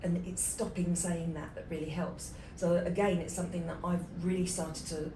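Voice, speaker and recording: Calm woman, a little off-mic. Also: fairly low pitch, normal speed, noisy background. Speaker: podcast co-host. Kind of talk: casual conversation. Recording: echoey room, slightly far from mic.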